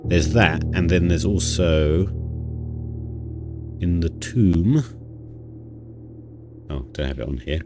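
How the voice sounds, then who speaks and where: A man's voice: low-pitched, slow pace, pauses often. A man hosting a casual game playthrough, stuffy-nosed from a cold, thinking aloud and rambling with restarts. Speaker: gaming channel host. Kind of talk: casual let's-play commentary. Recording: music playing under the voice. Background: new-age music.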